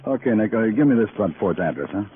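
An actor playing a terse, brooding police detective in an old radio crime drama, measured and moody in delivery. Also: male voice, fairly low pitch, animated, phone-quality sound, background noise, natural voice. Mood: businesslike. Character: low-voiced, terse, brooding New York police detective. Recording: band-limited old radio recording with music.

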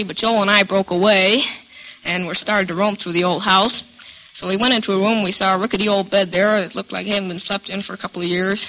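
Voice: medium pitch, sharp tone, low-quality recording. A young male quiz show panelist telling an anecdote in an orderly way.

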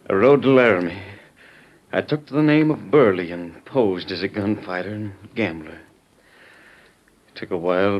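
An actor playing an earnest army major undercover as a gunfighter, telling his story gravely in an old-time radio drama. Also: fairly low pitch, muffled recording, close to an everyday voice. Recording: noisy vintage radio recording.

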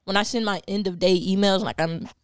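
A woman's voice: mid-range voice, steady tone, brisk, smooth, no room sound, studio quality. A woman chatting casually, talking with frequent "like" and restarts. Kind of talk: casual chat.

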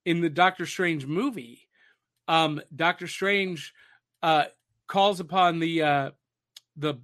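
A man with a high, bright voice chats casually as a podcast host. He talks nostalgically about pop culture and often pauses, feeling his way toward the next phrase.

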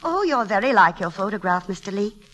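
An actress playing a brisk, kindly middle-aged English widow with a firm, chatty manner in a classic radio drama. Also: female voice, medium pitch, very animated, a bit bright. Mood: cosy, chatty. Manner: firm, matter-of-fact, chatty.

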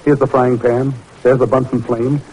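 A man's voice: medium pitch, little pitch movement, moderate pace, warm tone, close to an everyday voice. Loyal young male research assistant played by an actor, medium voice, earnest vintage radio acting, light hiss. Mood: wry, cheerful. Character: loyal, eager young male lab partner. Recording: noisy vintage radio recording.

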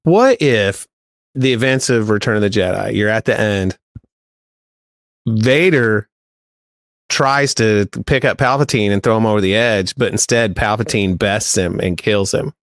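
Man, low-ish register, dry close recording, joking casual fan chat. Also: unhurried, dry recording. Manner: informal, joking, sweary. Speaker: podcast co-host.

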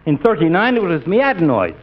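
A heavyset cop grumbling in thick stage-phrasing. His voice runs a little high and singsong. The sound is muffled and faintly hissy, like an old radio broadcast. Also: wildly swooping pitch, fast talker. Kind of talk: 1940s radio sitcom acting.

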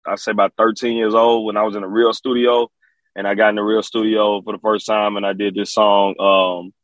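Male rapper guest, Memphis Southern accent, lowish voice, dry close sound, casual storytelling full of slang and repeated phrases. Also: flat delivery, brisk, no room sound. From Memphis, Tennessee. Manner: repetitive, slang-peppered, frequent "you know".

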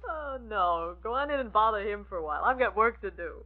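An actress playing a sharp-witted, teasing office secretary in an old-time radio drama. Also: female voice, mid-range voice, expressive, fairly quick, crowd noise, lightly acted. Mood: amused. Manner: teasing, sassy. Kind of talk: 1940s radio acting. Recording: tinny old radio recording.

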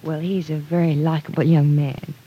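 A woman's voice: low voice, level tone, moderate pace, dull audio, a little hiss or hum, lightly acted. An actress playing an earnest, stubbornly loyal young woman in an old-time radio soap opera. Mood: anxious.

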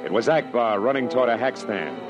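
A man's voice: medium pitch, expressive, brisk, close to an everyday voice. An actor playing a wisecracking hardboiled private detective in a classic radio drama, speaking and narrating in wry, slangy tough-guy style. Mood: tense narration. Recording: dull old radio recording with music behind.

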